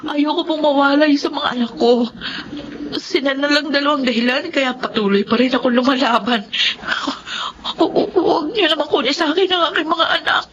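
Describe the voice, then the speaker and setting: A woman's voice: sits high, normal speed, lightly acted. A woman playing a poor, devoted widowed mother in a Filipino melodrama, speaking politely and emotionally with a Filipino accent. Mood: pleading, tearful. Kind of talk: Filipino radio-style melodrama acting. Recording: dull lo-fi audio with light noise.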